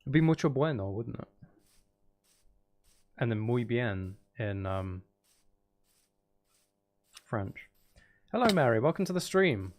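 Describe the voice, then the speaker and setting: A man's voice: mid-range voice, lively intonation, takes it slowly, long pauses. A man hosting a casual gaming livestream, chatting in a playful, teasing, rambling way and sounding a little tired. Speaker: livestream host.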